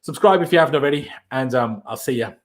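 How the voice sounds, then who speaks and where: A young man with a German accent, a native German speaker, hosting a casual football live stream and answering viewer questions in a chatty, animated way. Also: mid-range voice, quick pace. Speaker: football channel host.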